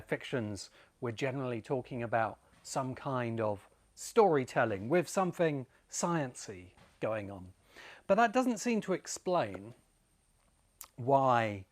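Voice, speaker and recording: A British man in his forties presenting an informal explanatory talk as host, speaking emphatically and deliberately with pauses for effect. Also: medium pitch. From Great Britain. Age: 40s. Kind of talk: informal explanatory video essay.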